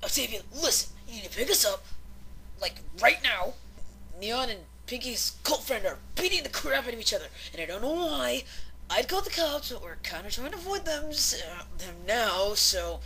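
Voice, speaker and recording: A woman voicing a rowdy drunk young mare in a fan fiction audio reading, with slurred, halting, hiccupy speech. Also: a little high, big pitch swings, sharp tone, voice pushed up, heavily acted, low-quality recording. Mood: drunk, frantic.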